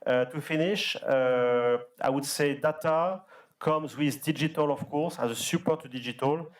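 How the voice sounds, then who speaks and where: A man's voice: fairly high pitch, a little slow. A company executive speaking at a round table, a man who makes his points emphatically and repeats his phrases.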